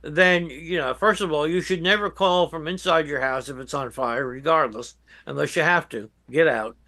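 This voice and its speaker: An older man with an American accent giving measured, emphatic advice as an expert guest in an interview. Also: fairly high pitch, pushed and bright. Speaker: guest expert, veteran emergency dispatch professional. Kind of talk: interview answers, explaining and advising.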